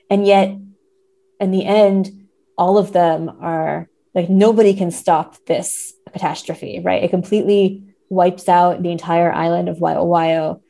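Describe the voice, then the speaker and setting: A woman with a low, mellow voice and noticeable vocal fry talks through literature as a podcast guest. Her delivery is conversational and thoughtful, often hedged and punctuated with tag questions.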